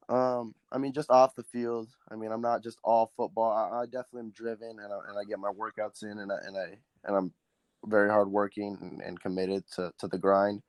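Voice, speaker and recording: A teenage quarterback from Southern California, a native Spanish speaker, answering interview questions earnestly with many ums and restarts. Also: male voice, on the low side, a little mellow, dry recording. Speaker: high school quarterback guest.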